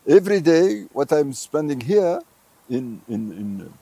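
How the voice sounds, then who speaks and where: A man's voice: a little high, big pitch swings, unhurried, mellow, dry recording. An older man from Morocco, a visiting tourism professor, answering interview questions in English with many fillers and restarts.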